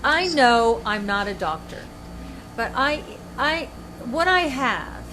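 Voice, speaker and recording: Animated older woman host, emphatic. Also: on the high side, takes it slowly, noisy background. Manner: animated, emphatic storytelling with restarts. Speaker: show host and author. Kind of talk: casual chat.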